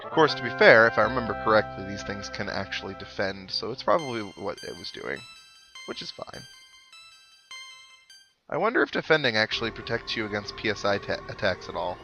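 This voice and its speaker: A man's voice: lowish voice, sing-song, brisk, bright, forceful tone. A man giving casual, dryly joking commentary as he plays a video game and reads its text aloud. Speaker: host of a video game playthrough. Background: video game music.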